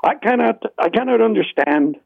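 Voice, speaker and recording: Gravelly high-pitched Irish man on the phone. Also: animated, normal speed, no room sound. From Ireland. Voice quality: gravelly, husky. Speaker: radio phone-in caller. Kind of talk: impassioned opinion. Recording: narrow tinny phone line.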